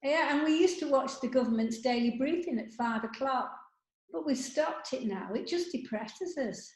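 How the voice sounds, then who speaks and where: Woman playing chatty older lady, matter of fact gossip, really high voice, very echoey. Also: lively intonation, normal speed, darkish tone. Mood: glum, resigned. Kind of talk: amateur comedy sketch reading. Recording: echoey room.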